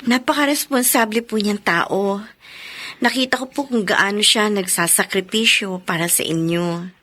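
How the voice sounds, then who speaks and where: A woman's voice: medium pitch, moderate pace, fairly bright tone, natural voice. A woman playing a polite, patient young girlfriend in a Filipino radio drama, deferential and earnest. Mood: earnest, understanding. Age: young adult.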